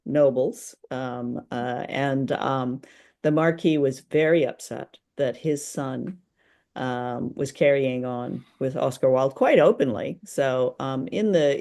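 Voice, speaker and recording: Give the voice of a woman co-hosting a relaxed book discussion. She talks in a conversational, unscripted way, often pausing to find her next phrase while she thinks out loud. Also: a bass voice, gravelly. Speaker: podcast host. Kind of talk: casual literary chat.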